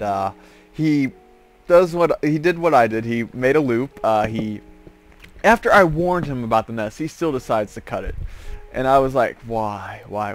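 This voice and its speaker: A man with a mid-pitched voice chats casually as a gaming host. He rambles through his storytelling, stopping and restarting phrases as he goes. Faint music plays behind him. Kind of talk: casual storytelling over gameplay.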